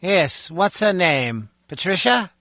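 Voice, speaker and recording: A man's voice: sits high, big pitch swings, takes it slowly, sharp tone, dry recording, studio quality, heavily acted. A man playing a grumbling, wisecracking henchman guard in a spy video game, talking in a sardonic, conversational way. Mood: dry, disapproving. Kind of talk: video game dialogue acting.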